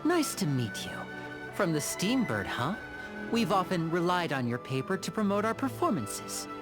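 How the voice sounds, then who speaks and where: A woman voicing an affable young male stage magician in an animated game, polite and playful. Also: fairly low pitch, normal speed, music in the background. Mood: courteous.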